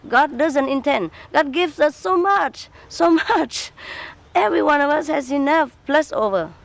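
A woman giving interview answers as a spiritual teacher, speaking halting English with many fillers and small laughs. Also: high-pitched, animated, vocal fry, dry recording. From Vietnam.

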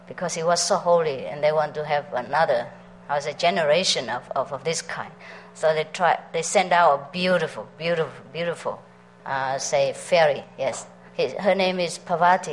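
Chatty low-voiced woman teacher. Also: slight background noise, low-quality recording. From Vietnam. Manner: chatty, laughs often, asks the audience questions. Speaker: spiritual teacher. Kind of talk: storytelling talk to a meditation group.

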